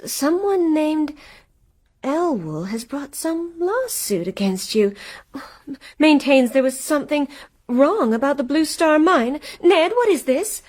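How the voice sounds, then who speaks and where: Actress as a high-voiced, inquisitive anxious wife. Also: female voice, very animated, takes it slowly, a little mellow, exaggerated voice. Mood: worried. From New York. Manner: probing, earnest, questioning. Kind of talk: radio play acting.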